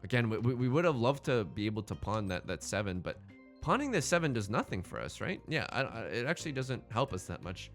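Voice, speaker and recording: A man's voice: lowish voice, sing-song, a little fast, soft background music. A man hosting a gaming let's play in casual, bantering commentary, thinking aloud and often repeating his phrases.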